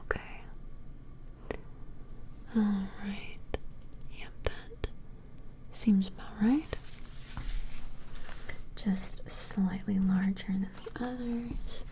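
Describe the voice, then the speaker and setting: A flustered female vampire, played by a woman, whispers softly in an ASMR roleplay. Her breathy delivery is very slow and halting, at a medium pitch. She is polite and attentive, with a smitten, apologetic hesitancy. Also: fairly even intonation. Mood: gentle, focused. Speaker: ASMR performer.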